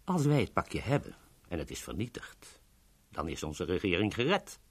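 An actor playing a calculating government agent in a 1970s radio drama, with a formal, deliberate delivery. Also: male voice, low-pitched, wildly swooping pitch, breathy edge, exaggerated voice. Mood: grave, insistent. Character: calculating male government agent bargaining over a secret package.

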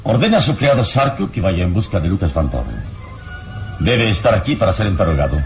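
An actor playing a calm, principled, dignified hero in a radio drama, speaking solemnly and formally. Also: male voice, sits low, fairly quick, creaky, soft background music, heavily acted. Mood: firm.

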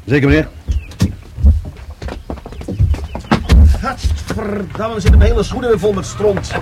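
An actor playing a grumbling police adjutant in a Dutch radio drama, halting and deferential to his superior. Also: high voice, animated, music in the background. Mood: disgruntled.

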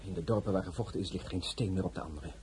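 A man's voice: sits low, rapid-fire, background noise, close to an everyday voice. A man playing a proud, troubled crown prince and army commander in a radio drama, formal in speech and full of brooding emotion. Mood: grave, defensive.

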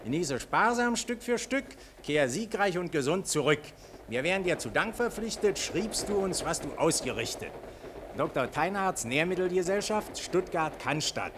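A jovial salesman with a highish, swooping voice played by a man. He gushes and declaims proudly, in a 1940s radio play with faint recording noise. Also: animated, moderate pace, natural voice. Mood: declaiming. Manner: gushing, declamatory. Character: jovial, boastful travelling salesman in patriotic raptures.

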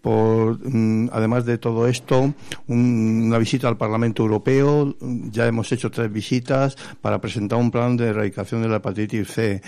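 A man from Spain, an activist guest on a radio interview, giving an earnest explanatory account with halting pauses. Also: mid-range voice, fairly even intonation, warm tone, no echo. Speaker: interview guest, patients' association vice president and activist. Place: radio studio.

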